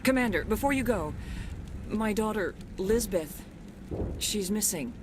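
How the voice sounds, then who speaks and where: Actress doing middle-aged colonist mom in a space game, earnest n firm, medium pitch, noisy behind her. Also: lively intonation, a little slow, natural voice. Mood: worried, determined. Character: trusting, determined middle-aged mother among stranded colonists. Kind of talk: video game voice acting. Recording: noisy rumbling ambience behind voice.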